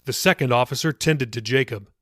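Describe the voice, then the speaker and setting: A man's voice: mid-range voice, fairly quick, a bit bright, dry recording. A man reading his own novel as scripted audiobook narration.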